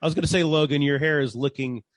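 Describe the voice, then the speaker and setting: A man's voice: on the high side, fast, no room sound. A man leading a casual, light-hearted chat among performers.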